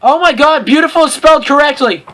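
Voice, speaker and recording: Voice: high voice, fast, noisy background. A co-host reading fan fiction aloud in a mocking, casual way, exclaiming and breaking off to comment on the text.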